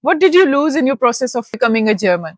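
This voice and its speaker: A woman's voice: high voice, very animated, quick pace, no room sound, clean studio sound. A woman interviewing a restaurant owner, asking short questions and summing up the answers. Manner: asks short probing questions, sums up answers. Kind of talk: street interview.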